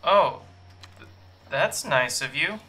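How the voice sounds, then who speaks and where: Casting: actress as calm female pirate peacekeeper, very slow, slightly distant from mic, radio drama. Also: low-pitched, slight background noise, full character voice. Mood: weary, calming. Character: weary, level-headed young female pirate peacekeeper.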